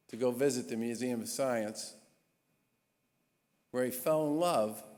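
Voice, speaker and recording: A man's voice: mid-range voice, darkish tone. Man in his 60s speaking in a reverberant church. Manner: earnest, emphatic speaking with measured pauses. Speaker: state governor introducing an award honoree. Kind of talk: formal introduction speech at a gala. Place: large historic church. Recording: long reverb of a large church hall.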